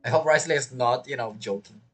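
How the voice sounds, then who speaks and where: A man's voice: mid-range voice, quick pace, fairly bright tone, breathy edge. A man giving casual, playful reaction commentary on a video game story, chiming in informally.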